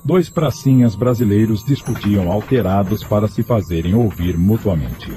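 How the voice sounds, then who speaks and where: A man's voice: on the low side, moderate pace, noisy background. A man narrating a radio drama from a script in a dramatic storytelling style.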